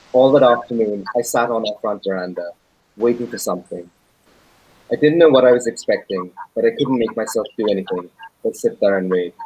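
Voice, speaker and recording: A man narrates a novel in a dramatic, earnest style. His voice is somewhat low with a rough, husky edge, and he moves through the sentences deliberately. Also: darkish tone, no echo, low-quality recording. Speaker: actor.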